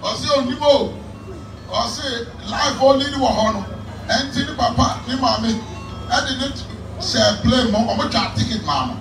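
A man with a Ghanaian accent preaching outdoors at a busy roadside, shouting emphatically through a handheld mic and loudspeakers. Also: extremely high pitch, lively intonation, a little slow, music in the background. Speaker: street preacher. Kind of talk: open-air evangelistic preaching. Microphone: handheld mic through street speakers, heard from a distance. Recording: echoey street sound from far off.

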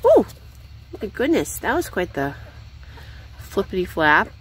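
A young woman chatting casually while she feeds her backyard chickens, rambling and cooing at them in a high voice, recorded on a handheld phone outdoors. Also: huge pitch swings, unhurried, a little hiss or hum. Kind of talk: casual off-the-cuff vlog chat.

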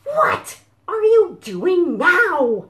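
Woman playing an indignant, shrill scolding chicken. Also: big pitch swings, very slow, heavily acted. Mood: exasperated. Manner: loud exclamations, scolding. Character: flustered, indignant chicken scolding cows off a sofa. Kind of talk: animated children's storybook reading.

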